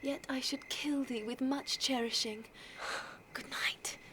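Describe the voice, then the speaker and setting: An actress with an English accent playing a lovestruck, eager teenage noblewoman in a Shakespeare audio drama, tender and breathy, often dropping to whispers. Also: high-pitched, fairly even intonation, slow, low-quality recording. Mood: yearning, playful. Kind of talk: classical verse audio drama.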